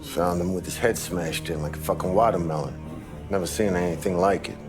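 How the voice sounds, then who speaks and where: A man's voice: sits low, brisk, close to an everyday voice. An actor playing a loyal mob underling who reports nervously to his boss in a game cutscene. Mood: tense. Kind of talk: video game cutscene acting. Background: background music.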